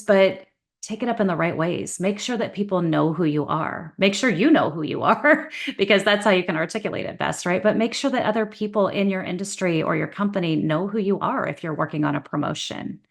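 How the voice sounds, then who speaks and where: A woman's voice: fairly low pitch, vocal fry. A woman author and career coach talking as a guest in an interview, giving direct, motivational advice with confidence. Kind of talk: casual interview chat.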